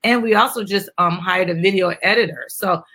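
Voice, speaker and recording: A woman's voice: fairly low pitch, brisk, sharp tone. A woman chatting casually to viewers as she hosts a live stream and promotes a children's book. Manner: chatty, addresses viewers as guys.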